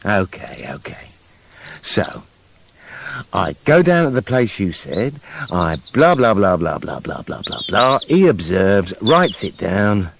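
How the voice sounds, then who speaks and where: An actor playing a sardonic, world-weary big bad wolf in a radio play, arguing in a wry, rambling way. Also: male voice, sits low, big pitch swings, slow, creaky, background noise, heavily acted. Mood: sceptical, mocking.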